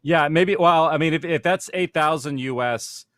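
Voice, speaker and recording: A man's voice: fairly high pitch, normal speed, a bit bright, dry recording. A man speaking informally as a panelist, explaining how things work. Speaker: audio fiction panelist.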